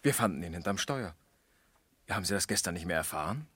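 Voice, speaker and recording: An actor playing a methodical police lieutenant, deliberate and official, in a 1970s German radio crime drama. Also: male voice, deep voice, animated, a bit bright, husky, no room sound, full character voice. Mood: businesslike. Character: pressing, methodical male police lieutenant questioning people.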